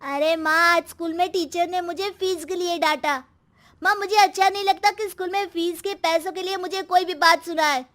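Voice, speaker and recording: Woman as a whiny, very high-pitched schoolchild. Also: steady tone, moderate pace, a bit bright. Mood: upset, whiny. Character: schoolchild complaining to mother, upset and whiny.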